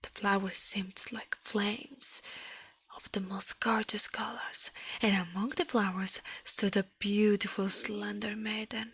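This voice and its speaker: Woman doing asmr story reading, soft airy whisper, right up on the mic, super dry sound. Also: mid-range voice, level tone, takes it slowly, husky, no echo. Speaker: ASMR livestreamer reading aloud. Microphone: close microphone, dry sound.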